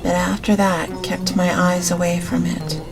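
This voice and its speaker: Slow, gentle woman reading a gothic audiobook. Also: lowish voice, level tone, gravelly, over loud music. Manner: gentle, unhurried, measured reading. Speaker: audiobook narrator. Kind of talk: scripted audiobook narration of a gothic novel.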